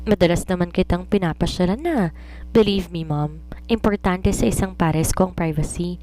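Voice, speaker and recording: A woman's voice: lowish voice, normal speed, warm tone, close to an everyday voice, poor audio. An assured young woman writer in an audiobook romance, voiced by a woman narrator. She is chatty and teasing, reasons her way along and slips English phrases into her talk. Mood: coaxing, reasoning.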